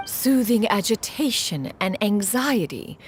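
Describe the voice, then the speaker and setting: A woman's voice: mid-range voice, big pitch swings, slow, slight background noise. A woman playing a proud, stately immortal sage and inventor in a video game, formal, composed and self-assured. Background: background music.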